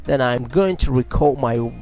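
A man's voice: medium pitch, moderate pace, darkish tone. Guy doing a casual tech how-to, music behind. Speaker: tech tutorial host. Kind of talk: casual tutorial narration.

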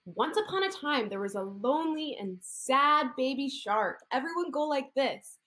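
Lively woman therapist reading to kids. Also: high voice, normal speed. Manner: animated, sing-song, coaxing children to copy hand gestures. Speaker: occupational therapist. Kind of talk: reading a picture story aloud to young children. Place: indoor room.

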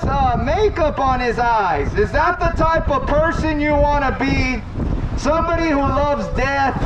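A man preaching loudly outdoors to a street crowd, condemning and repetitive in manner. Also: very high voice. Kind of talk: open-air preaching to passing crowds. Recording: distant, muffled outdoor recording with street noise.

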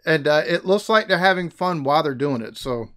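American guy in his 30s chatting on a headset, slightly high voice, laughs easy. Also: expressive, brisk, a bit bright, slight background noise. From United States. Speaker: reaction video host. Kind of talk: casual chat. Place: small room in front of a green screen.

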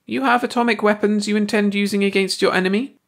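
A man voicing a shrill, excitable male alien scientist who squeaks and shrieks in a storybook reading. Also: fast. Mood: ranting. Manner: shrieking, agitated, high-pitched.

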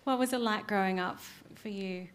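A woman in her thirties, a journalist moderating a stage panel, quick and warm, laughing along with the guests. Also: mid-range voice. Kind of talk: hosting a panel conversation. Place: studio stage with a panel seated in chairs. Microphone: handheld microphone.

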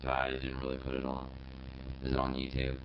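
A man's voice: rumbling low, a little fast. A man's voice turned robotic and synthetic by a robot filter, talking in an interview. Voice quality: robotic, synthetic, monotone timbre. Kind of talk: interview about a viral video. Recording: muffled, noisy, echoing audio with hum.

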